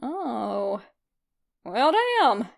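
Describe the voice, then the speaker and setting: A woman's voice: fairly high pitch, very animated, very slow, gravelly, full character voice. A woman voicing a sheepish, flirty male skeleton monster with a casual, teasing drawl in a story reading. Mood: flustered.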